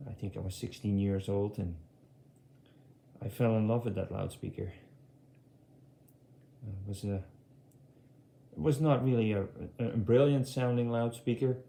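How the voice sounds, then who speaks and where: Mellow middle-aged man, echoey room. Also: fairly low pitch, fairly even intonation, gravelly. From Europe. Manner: halting, repeats words. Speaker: audio hobbyist presenting his speaker collection. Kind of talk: casual unscripted product walkthrough.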